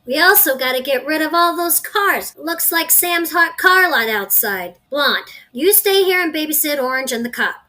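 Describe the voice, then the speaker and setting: A woman's voice: high voice, animated, moderate pace, hardly any pauses, sharp tone. A woman playing a brash, foul-mouthed crime gang boss in an audio drama, impatient and sarcastic. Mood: bossy, impatient.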